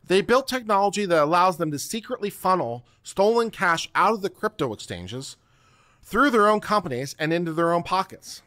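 Theatrical middle-aged man, lively pitch. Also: normal speed, no echo. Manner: theatrical, emphatic, declamatory. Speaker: host of a legal commentary livestream. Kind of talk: dramatic reading of a text aloud. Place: home studio room, close and dry. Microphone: desk microphone close to the mouth.

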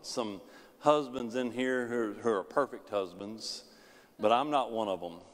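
A middle-aged male pastor preaching a sermon in a large church sanctuary, pacing the stage. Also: measured pace. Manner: preaching with wide swings in pitch and loudness, pacing the stage. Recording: long church hall reverb.

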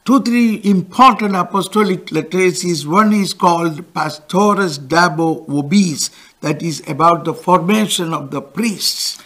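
Older man, high voice, slow deliberate catechism teacher, studio lapel mic. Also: a bit bright. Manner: gestures broadly while explaining, pauses for interpreter. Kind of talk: catechism lesson with interpreter. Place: studio with backdrop.

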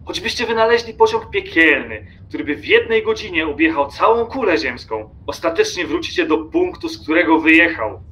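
An actor playing an irritable, contemptuous train passenger in an audio drama, lecturing obsessively and emphatically. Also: male voice, a little high, very animated, a little fast, hardly any pauses, bright, forceful tone, a little hiss or hum. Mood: impassioned lecturing.

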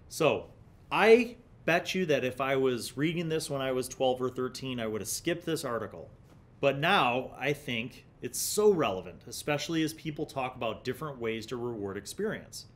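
A middle-aged American man with a medium-pitched voice hosts a casual review. He explains in a conversational way and leans on key words for emphasis. He speaks into a desktop studio microphone in a small indoor room. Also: fairly bright tone. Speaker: YouTube host reviewing old gaming magazines. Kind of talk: casual review and reminiscence, partly reading aloud. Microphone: large desktop studio microphone in front of him.

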